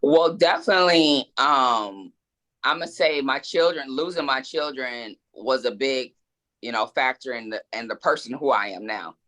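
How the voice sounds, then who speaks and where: A woman's voice: rumbling low, lively intonation, a little slow, fairly bright tone. A woman in her forties, a guest author in a casual interview, speaking reflectively and with emphasis, often repeating words as she thinks. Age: 40s.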